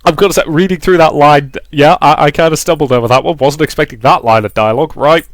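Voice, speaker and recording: A man's voice: a little high, quick pace, no echo. Man narrating, dry close sound. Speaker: let's play host reading a visual novel aloud. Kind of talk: story narration with casual asides.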